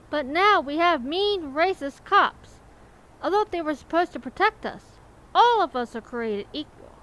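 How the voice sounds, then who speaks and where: A woman's voice: very high voice, fairly bright tone, poor audio. A woman performing a rhyming spoken-word poem in a rhythmic delivery.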